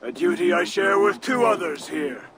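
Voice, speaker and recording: A dutiful fire-giant captain for a fantasy game. He speaks high and formally, deferential to his superior, with clear crowd noise behind him. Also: lively intonation, moderate pace. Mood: respectful. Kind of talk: dramatic game cutscene acting.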